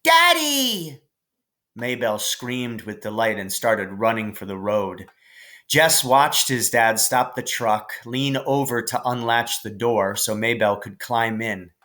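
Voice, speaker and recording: A man reading a children's novel aloud as scripted narration, in a measured, careful way. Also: on the low side, sharp tone. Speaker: audiobook narrator.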